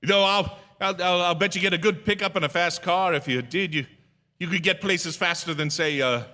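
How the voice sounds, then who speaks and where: A man's voice: high-pitched, brisk, pushed and bright. A man playing a haunted, anxious lone motorist in a radio drama, intense and sometimes stammering. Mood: jittery, eager. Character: haunted, anxious lone male motorist narrating his ordeal. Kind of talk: dramatic first-person radio drama narration. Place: theatre stage. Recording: reverberant theatre hall, music underneath. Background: dramatic music.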